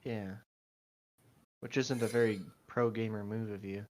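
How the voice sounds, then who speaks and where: A man's voice: lowish voice, steady tone, measured pace, a little mellow. A man co-hosting a podcast, making short remarks in casual banter. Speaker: podcast co-host.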